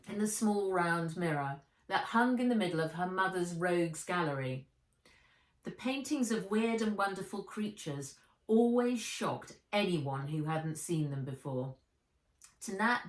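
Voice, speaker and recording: A woman reading a children's adventure story aloud as its author, expressively, in a storytelling style. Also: on the low side. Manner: expressive, acts out the dialogue. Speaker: author reading her own book aloud. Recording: roomy echo, distant from the mic.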